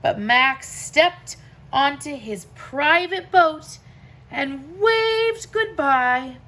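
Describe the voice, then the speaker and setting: Very slow, high-voiced woman reading a storybook. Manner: expressive, dramatic pauses and swoops. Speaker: teacher reading aloud, show host. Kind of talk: slow storybook read-aloud for young children.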